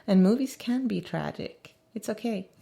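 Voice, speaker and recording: A woman with a Swedish accent, an actress chatting on a commentary track, quick to agree and often laughing. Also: medium pitch, lively intonation, creaky, dark tone. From Sweden. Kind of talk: casual commentary chat.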